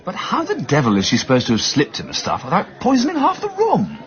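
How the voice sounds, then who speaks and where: An actor playing a jovial, sceptical gentleman friend in a radio drama, breezy and bluff, with an upper-class English accent. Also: a little high, huge pitch swings, quick pace, muffled recording. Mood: bemused. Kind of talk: British radio drama acting.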